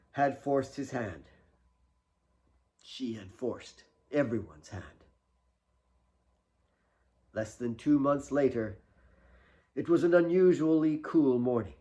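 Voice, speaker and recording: A man's voice: mid-range voice, a little mellow. A man reading his own novel as a dramatic audiobook narration.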